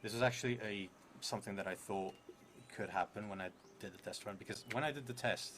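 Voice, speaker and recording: A man's voice: fairly low pitch, fast talker, background noise. A man in his thirties narrating a solo tabletop role-playing game on a stream, reading prompts aloud and musing to himself. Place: indoor streaming setup in a theatre venue.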